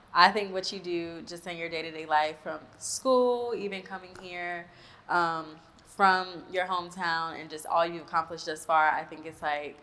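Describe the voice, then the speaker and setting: Friendly lower-voiced woman co-host. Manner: warm, friendly, many "like" fillers. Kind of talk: casual interview.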